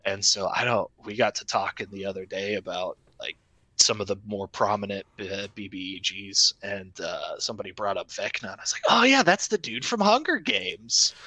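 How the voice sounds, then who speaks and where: A man's voice: deep voice, fairly even intonation, a little fast, sharp tone, dry recording. A man co-hosting a casual conversation about tabletop gaming, with a playful, joking manner and a taste for exaggeration. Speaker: co-host.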